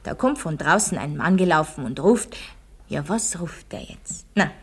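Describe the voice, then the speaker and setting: A woman's voice: sits low, lively intonation, normal speed, a little hiss or hum. Emphatic Austrian schoolteacher woman telling a tale. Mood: dramatic, suspenseful. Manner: didactic, quizzing, emphatic. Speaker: actor in a radio play. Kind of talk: radio drama, classroom storytelling.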